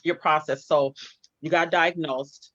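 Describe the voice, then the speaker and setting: A woman hosting an online interview show, warm and encouraging, who calls her guest "sis". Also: sits low, steady tone, a little slow, dry recording. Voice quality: speaks from personal experience of hair loss. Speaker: host. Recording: dry, muffled sound.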